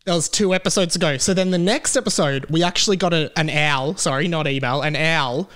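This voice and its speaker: A man's voice: on the high side, brisk, fairly bright tone. A man with an Australian accent hosting a casual comedy podcast over a video call, chatting and reading out listener mail. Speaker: podcast host. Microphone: remote video call recording.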